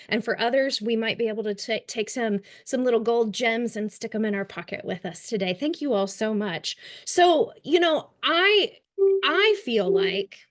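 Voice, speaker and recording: Woman, Kentucky accent, slightly high voice, webinar trainer, chatty, frequent ums. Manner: warm, chatty, with frequent ums and light laughs. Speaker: trauma-informed care trainer. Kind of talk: webinar presentation.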